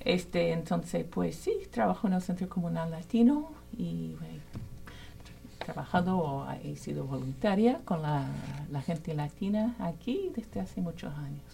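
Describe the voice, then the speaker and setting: Low gravelly-voiced woman guest. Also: expressive, a little slow, dark tone. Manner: thoughtful, searching for words. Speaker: community center worker. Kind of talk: radio guest conversation.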